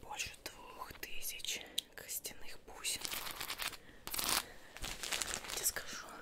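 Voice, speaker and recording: A young woman whispers an ASMR roleplay as a shopkeeper, coaxing the listener softly and unhurriedly, often repeating words, close to the mic indoors. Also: slow pace. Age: young adult. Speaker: ASMR roleplayer playing a witch tailor.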